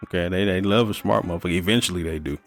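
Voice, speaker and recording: A man's voice: deep voice, rapid-fire, faint music behind. A man in his thirties co-hosting a podcast, chatting casually and giving blunt, profane life advice. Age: 30s. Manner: laid-back, profane, advice-giving storyteller. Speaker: podcast co-host. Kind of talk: casual chat.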